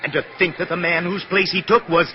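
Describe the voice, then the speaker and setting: An actor playing a fussy, excitable department store publicity man with gushing, prissy delivery in 1940s radio style. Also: sits high, brisk, natural voice. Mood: excited, gushing. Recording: muffled 1940s radio recording.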